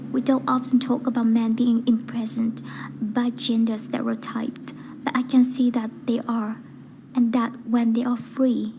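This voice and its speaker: A woman with a fairly high, very mellow voice recites a prepared speech. Her delivery is careful and earnest, with very little rise and fall. Faint noise sits behind her. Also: poor audio. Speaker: voice acting learner reading a speech. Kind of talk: rehearsed public speech recitation.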